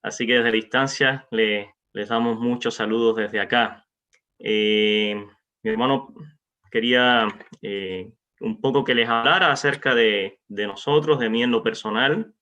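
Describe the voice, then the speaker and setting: A man addresses a church congregation informally. His voice is bright and forward, and he halts often between phrases. The sound is dull, like a low-quality online meeting. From Cuba. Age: 40s. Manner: halting, with frequent pauses. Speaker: visiting church preacher. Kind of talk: informal testimony and greeting to a congregation. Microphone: remote online meeting microphone.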